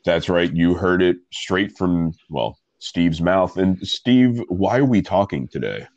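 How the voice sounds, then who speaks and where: A man's voice: sits low, expressive, slow, a little mellow, no echo, dull audio. A man with a stuffy nose hosting a casual interview, rambling with self-deprecating asides. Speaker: podcast host and coffee reviewer.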